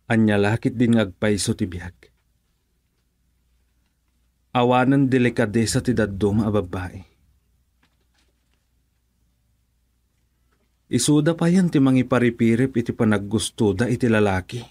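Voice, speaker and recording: A man acting as a patient young husband and father in a radio drama. He speaks in an Ilocano accent at a middling pitch, with an easygoing manner. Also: brisk, darkish tone, lightly acted. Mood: musing.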